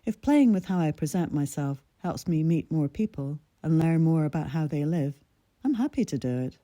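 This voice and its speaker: Very dark-voiced woman in her sixties. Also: low-pitched, expressive, no room sound. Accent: mid-Atlantic with a Scottish lilt. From Scotland. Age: 60s. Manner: measured, reflective storytelling. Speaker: narrator of her own essay. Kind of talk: scripted personal essay reading.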